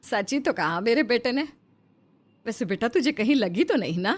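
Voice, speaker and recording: Middle-aged mother-in-law played by a woman, well-meaning, lively intonation, highish voice, cartoon family drama. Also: moderate pace. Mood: motherly. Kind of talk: melodramatic Hindi moral-story cartoon acting.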